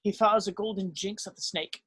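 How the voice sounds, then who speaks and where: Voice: medium pitch, fairly even intonation, normal speed, a bit bright, slight background noise. A narrator reading screenplay stage directions as scripted narration, now and then stumbling over the text.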